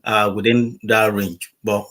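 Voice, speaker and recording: A man's voice: lowish voice, little pitch movement, unhurried, fairly bright tone, slight background noise. A man hosting an interview, chatty, laughing easily and restarting his sentences. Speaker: host.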